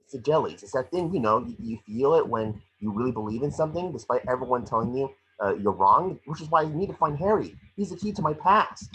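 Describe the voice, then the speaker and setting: A man playing a small, cocky, wisecracking mouse detective in a table read, with a rough-edged husky voice. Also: mid-range voice, lively intonation, fairly bright tone, a little hiss or hum, natural voice, rough recording. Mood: cocky, earnest. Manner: fast-talking wisecracking patter. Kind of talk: animated script table read.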